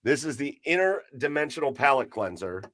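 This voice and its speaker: A man hosting a live podcast with an upbeat, emcee-like delivery. His voice sits at an ordinary pitch and sounds dry and close to the mic, as if recorded in a small, deadened room. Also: big pitch swings, moderate pace, a bit bright, no room sound. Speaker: podcast host. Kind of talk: casual livestream chat.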